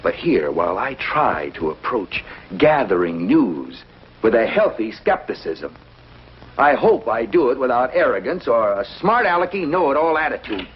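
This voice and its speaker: A man's voice: medium pitch, big pitch swings, normal speed, lightly acted. A man playing an earnest, easily indignant small-town newspaper editor in a 1950s TV drama, formal and pointed. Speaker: actor in a TV drama. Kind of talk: 1950s television drama acting. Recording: muffled, hissy old TV soundtrack.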